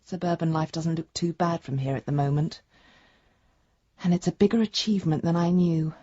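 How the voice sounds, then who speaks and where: A woman's voice: fairly low pitch, moderate pace, warm tone, dry recording, dull audio, lightly acted. An actress playing a candid, affectionate grown daughter in a radio drama, speaking a letter to her father in an intimate, reflective way. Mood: reminiscing, wry.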